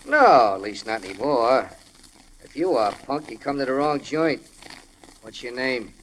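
A man's voice: medium pitch, sing-song, unhurried, fairly bright tone. On a faintly noisy old radio recording, a tough ex-convict butler. He is blunt and menacing, needling people in wisecracking slang, in the manner of a 1940s radio crime drama. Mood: gruff, challenging.

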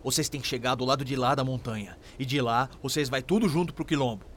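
A man's voice: medium pitch, fast talker, fairly bright tone, slight background noise, natural voice. An actor playing a resolute, protective enslaved young man in a radio drama, with a Brazilian accent and rustic, colloquial speech. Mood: urgent, reassuring.

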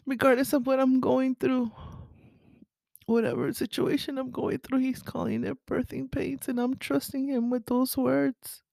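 A woman with a raspy voice speaking haltingly and earnestly in a confessional, faith-based podcast monologue. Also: high-pitched, flat delivery, mellow, no echo. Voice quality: raspy early-morning voice. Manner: halting, earnest, with throat clearing. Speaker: podcast host.

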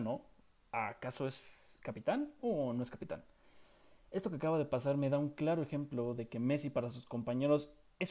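A young man hosts a scripted sports commentary show. His voice is mellow and warm, at a middle pitch. He argues his opinions and keeps posing rhetorical questions. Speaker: sports podcast host.

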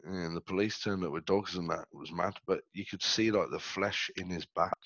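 A stuffy-nosed man chatting loosely on his own podcast, with a very low voice. He talks in slang and rambles along. He speaks into a headset that sounds dull and very dry, with no room around him. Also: steady tone, fairly quick, a bit bright, no room sound. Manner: laid-back, slangy, rambling. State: stuffy, under the weather. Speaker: podcast co-host. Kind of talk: casual chat. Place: reclined in a chair at home.

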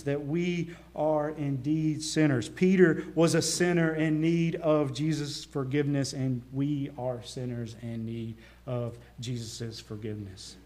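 A man with a Southern accent delivers a sermon from the pulpit, his pitch sitting in an ordinary middle range. He preaches earnestly, pressing louder on key phrases and falling soft at the ends of thoughts. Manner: earnest preaching with rising emphasis and quiet drops. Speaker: pastor. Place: church.

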